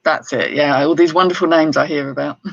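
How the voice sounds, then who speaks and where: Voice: medium pitch, steady tone, brisk, creaky, no echo. A guest chatting casually on a live stream over a video call, mostly giving short agreeing replies. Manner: brief agreeing replies, laughs along. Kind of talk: casual chat. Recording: dull, lo-fi video call audio.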